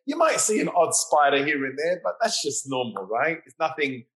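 A man's voice: on the high side, big pitch swings, moderate pace, a bit bright. Australian man telling stories. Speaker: hairdresser guest. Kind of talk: casual interview storytelling.

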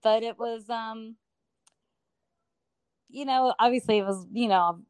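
A woman's voice: a little high, takes it slowly, a little mellow, clear, resonant tone, no room sound. A middle-aged woman from Alabama, telling her story as a guest in a casual interview, chatty with many fillers.

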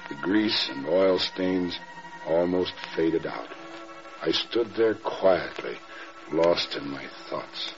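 A reflective ex-army major in an old radio drama. He has a low voice and a measured delivery, on a muffled recording with music. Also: expressive, full character voice. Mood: wistful. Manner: measured, wistful storytelling. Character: reflective American former army major recalling the war. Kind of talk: vintage radio drama narration.

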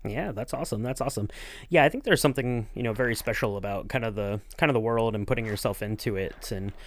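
Lowish-voiced man, rambling podcast interviewer. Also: quick pace, dry recording. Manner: rambling, agreeable, hedging with "kind of". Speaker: podcast co-host acting as interviewer. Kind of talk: casual conversational interviewing.

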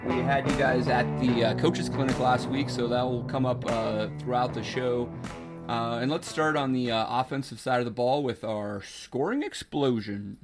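A man's voice: mid-range voice, steady tone, moderate pace, over loud music. A man hosting a football podcast, steering the talk with many hesitations. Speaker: podcast host. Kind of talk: casual football chat.

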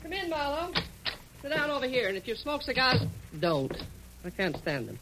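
A woman's voice: high voice, very animated, normal speed, music in the background, low-quality recording. An actress playing an imperious older American businesswoman, curt and commanding, in 1940s radio drama style. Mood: brusque, businesslike. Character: bossy, brusque older female publishing tycoon. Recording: old radio drama recording.

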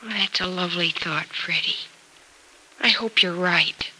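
An actress in an old-time radio soap opera playing a tender, sorrowful woman, gentle and earnest in her loyal concern for a friend. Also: fairly low pitch, unhurried. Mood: gentle, sad. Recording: noisy vintage radio drama recording.

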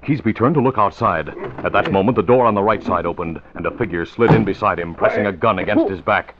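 A man's voice: on the low side, fast, noisy background. A man giving scripted narration for a radio drama in 1940s style. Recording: muffled old radio recording.

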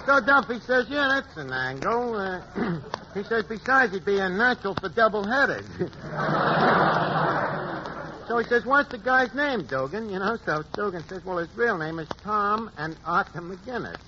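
An actor playing a wisecracking New bartender who mangles words, telling a tall tale in a folksy, rambling way on a 1940s radio comedy show. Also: male voice, sits high, animated, a little slow. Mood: wry. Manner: folksy storyteller, drops in malapropisms and filler. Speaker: comic guest. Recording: noisy lo-fi 1940s radio broadcast.

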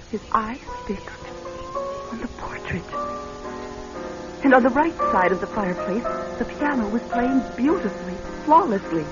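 A woman's voice: medium pitch, lightly acted. Radio drama female lead, played by an actress: practical woman in love, uneasy, dramatic storytelling delivery, muffled recording over music. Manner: hushed, dramatic storytelling, earnest pleading in dialogue. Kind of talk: radio drama acting and first-person suspense narration.

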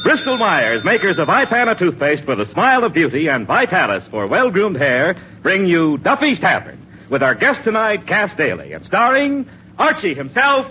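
A man's voice: sits high, huge pitch swings, normal speed. A man announcing a 1940s radio show and its sponsor in a formal, showy manner. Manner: formal, showy billing delivery. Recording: muffled, noisy old radio recording.

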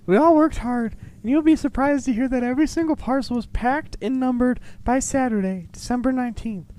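A man voicing a busy little elf secretary, chatty and earnest, in a put-on high voice for a storybook letter. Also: a little fast, dark tone, rough recording. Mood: earnest, excited.

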